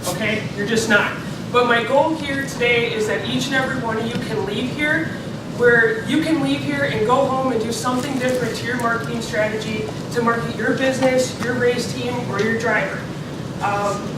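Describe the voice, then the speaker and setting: A woman with a cold giving a marketing seminar at an expo, chatty and joking with lots of fillers. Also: fairly high pitch. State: has a cold. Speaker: seminar presenter. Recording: echoey hall recorded from far off, noisy.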